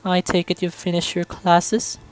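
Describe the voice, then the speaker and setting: A man plays a regal beast king from a fantasy visual novel. The voice is lifted high, courteous and wry, with measured, formal phrasing that carries royal poise. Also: completely flat delivery, faint music behind, poor audio.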